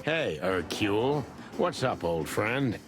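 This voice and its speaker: A deep-voiced rooster detective, hard-boiled and tired of the world. He speaks with dry, sardonic wit in noir video game style, over clearly audible music. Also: sing-song, slow pace. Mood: wry, dry. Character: world-weary, wry veteran rooster detective, noir narrator. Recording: music under the voice.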